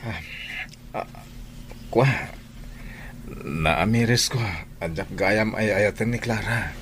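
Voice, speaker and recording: An actor playing a guilty young husband in a radio drama, halting and apologetic as he speaks. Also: fairly low pitch, a bit bright, a little hiss or hum. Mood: nervous.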